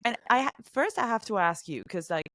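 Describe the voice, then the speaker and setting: A woman's voice: fairly high pitch, fairly quick, dry recording. A woman podcast host, a non-native English speaker, casually recapping an episode and acting out the characters' lines. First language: not English. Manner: animated storytelling, acts out characters' lines.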